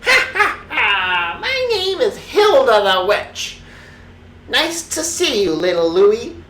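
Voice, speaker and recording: A storyteller voicing a gleeful, sneaky witch for a children's bedtime story, with a shrill voice and drawn-out cackles. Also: sing-song, pushed and bright, echoey room, heavily acted. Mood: gleeful scheming.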